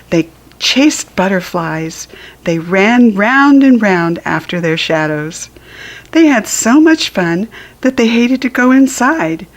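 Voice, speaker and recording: Woman, American accent, children's storybook narration, unhurried pace, expressive, deliberate enunciation. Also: mid-range voice. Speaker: children's book reader.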